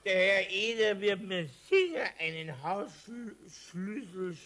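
A very slow, high-voiced drunk gentleman. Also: lively intonation, exaggerated voice. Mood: tipsy, rambling. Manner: slurred, halting, repeating himself. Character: very drunk, rambling gentleman neighbour. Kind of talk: 1970s radio play acting.

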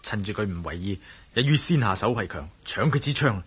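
An actor playing a bold, wary adventurer hero in a radio drama, speaking Cantonese with a Hong Kong accent in a wry, commanding manner. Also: male voice, lowish voice, expressive, brisk, a bit bright, a little hiss or hum. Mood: tense, threatening. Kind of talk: radio drama acting with spoken inner monologue.

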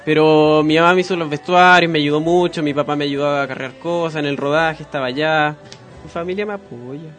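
A man's voice: on the high side, steady tone, brisk, a bit bright, soft background music. A young man with a Chilean accent, a filmmaker chatting as a guest in a casual radio interview, playful and self-mocking. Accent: Chilean Spanish. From Spain.

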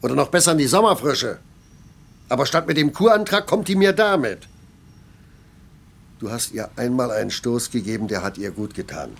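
An actor playing a blunt, sarcastic middle-aged factory master in a German radio play, gruff and colloquial. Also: male voice, mid-range voice, moderate pace, pauses often. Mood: reproachful, blunt. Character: grumbling, blunt older factory master, male.

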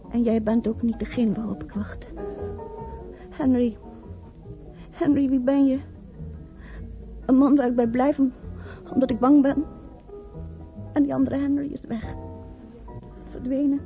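A woman's voice: high-pitched, steady tone, unhurried, pauses often. An actress playing a frightened, tender woman in love in a radio drama, earnest and emotional. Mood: fearful, sad. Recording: dull old radio recording, faint music.

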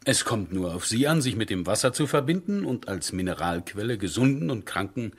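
Captain, male: deep-leaning, slightly rough voice, courteous and exact, measured delivery for a period radio play. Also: big pitch swings, full character voice. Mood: lecturing. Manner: measured, formal, precise. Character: earnest, courteous military captain with a scientific bent. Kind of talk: 1970s German radio drama acting.

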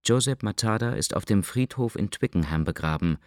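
A man narrating a radio documentary in a sober, even way. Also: on the low side, fairly quick, no room sound, studio quality.

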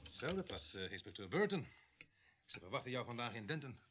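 An actor playing a blunt, suspicious police sergeant in a Dutch radio drama, with a husky, grumbling delivery. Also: male voice, mid-range voice, big pitch swings, brisk, background noise, close to an everyday voice. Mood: grumbling, familiar.

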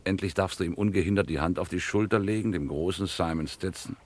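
A scheming gentleman crook with a notably deep voice. He speaks in pompous, carefully placed phrases and patronises his henchman. The delivery is that of a vintage radio crime drama. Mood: mock-pitying. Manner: grandiloquent, patronising, deliberate phrasing. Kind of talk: vintage German radio crime play acting.

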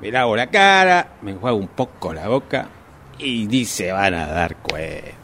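A man's voice: fairly low pitch, sing-song, moderate pace, noisy background. A man with an Argentine Rioplatense accent playing a grumbling, tipsy husband in a radio drama, muttering exasperated complaints to himself. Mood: grumbling, secretive. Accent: Argentine Rioplatense Spanish. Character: grumbling tipsy husband stumbling home at night.

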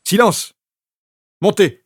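An actor playing a gruff armed guard who shouts commands. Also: male voice, takes it slowly, no room sound, lightly acted. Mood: commanding. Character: gruff armed male guard, barking orders.

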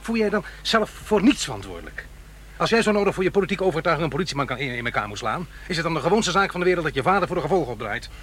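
An actor playing a troubled, reproachful divorced father in middle age, halting and earnest, in a Dutch radio play. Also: on the high side, sing-song, fairly bright tone, breathy edge, exaggerated voice. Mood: indignant. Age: middle-aged. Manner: halting, earnest, self-questioning.

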